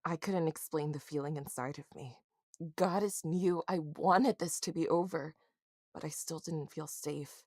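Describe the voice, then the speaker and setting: An actress playing a frightened young woman who narrates a horror audio drama in a confessional, halting way, with music behind her. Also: sits low, darkish tone, dry recording, studio quality. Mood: uneasy. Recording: music bed under the voice.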